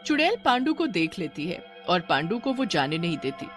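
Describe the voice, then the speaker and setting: A woman's voice: lowish voice, big pitch swings, normal speed, over music. A woman narrator reading a scripted horror tale for a cartoon.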